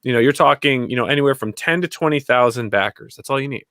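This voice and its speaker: A man's voice: medium pitch, fast, pushed and bright, dry recording, studio quality. A man talking bluntly and casually in an opinionated panel chat, repeating his key phrases for emphasis and swearing now and then.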